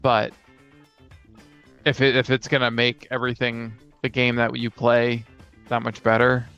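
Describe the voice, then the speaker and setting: A man's voice: mid-range voice, completely flat delivery, a little slow, a bit bright, clean tone, highs cut off, soft background music. A man co-hosting a casual talk about tabletop games as a game master, thinking aloud as he goes. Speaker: podcast co-host and game master.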